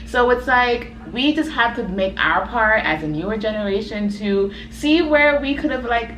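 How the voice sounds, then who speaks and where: A woman's voice: fairly high pitch, normal speed. A woman in her thirties co-hosting a casual chat, talking in an earnest, reflective way and thinking aloud. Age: 30s. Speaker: co-host. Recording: echoey room with steady background noise.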